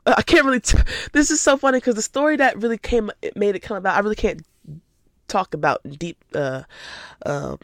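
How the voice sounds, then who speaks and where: Stuffy-nosed teen girl podcaster, gravelly. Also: medium pitch, brisk, dry recording, studio quality. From Atlanta, Georgia. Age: teens. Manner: chatty, rambling, laughs easily. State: stuffy from a cold. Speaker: podcast host. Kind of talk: casual solo podcast chat.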